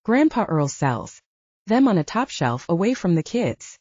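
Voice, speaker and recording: A woman's voice: fairly low pitch, sing-song, measured pace, darkish tone, dry recording, lo-fi sound. A woman voicing a candid, playful young woman, the heroine of an audiobook romance. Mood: teasing.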